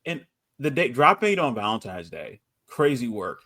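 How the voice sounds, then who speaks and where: A man's voice: mid-range voice, sing-song, moderate pace, pushed and bright. A man in casual podcast chat, mocking and emphatic, often repeating his phrases to drive them home. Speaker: podcast host.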